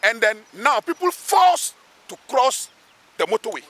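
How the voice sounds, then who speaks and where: A man's voice: very high-pitched, very animated, unhurried, fairly bright tone. A man with a Ghanaian accent speaking emphatically as a local assemblyman in an outdoor interview, repeating phrases for weight. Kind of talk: spoken interview, pleading advocacy. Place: outdoors beside a road underpass.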